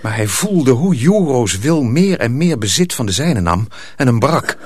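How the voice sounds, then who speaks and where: A man narrating a radio play in a measured, literary storytelling manner, as a sober teller of a dark folk tale. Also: medium pitch, sing-song, slightly rough, no echo. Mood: measured, grave.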